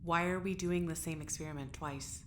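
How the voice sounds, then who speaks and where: A woman's voice: deep voice, steady tone, normal speed, a little hiss or hum, lightly acted. A woman playing a blunt, stubborn prisoner and test subject in an audio drama. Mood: defiant. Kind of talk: scripted audio drama acting.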